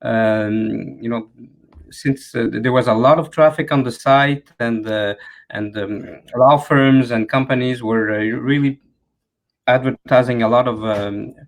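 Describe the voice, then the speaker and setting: This man from Quebec grew up speaking French and carries a clear Quebec French accent into his English. His voice sits at an ordinary mid pitch. He speaks hesitantly, often pausing and filling gaps as he searches for words. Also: steady tone. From Quebec, Canada. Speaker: panel guest. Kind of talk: conversational interview answers.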